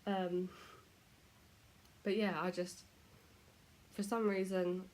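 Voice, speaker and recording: A woman's voice: medium pitch, level tone, takes it slowly, a little mellow. A British woman chatting casually to camera at home, self-deprecating, her nose stuffy from a cold. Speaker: vlogger.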